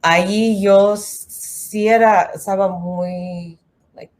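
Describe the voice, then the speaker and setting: Slow, hesitant woman from the Philippines. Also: medium pitch, steady tone, darkish tone. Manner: hesitant, many fillers, slips into English. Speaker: guest. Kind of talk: nervous testimony in halting Spanish.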